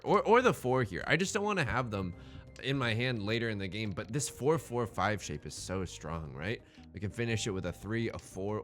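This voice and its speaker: A man's voice: mid-range voice, a bit bright. A man hosting a gaming series with casual, jokey commentary, often stammering and repeating words as he rambles. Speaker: host of a gaming Let's Play series. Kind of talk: casual game commentary with joking banter.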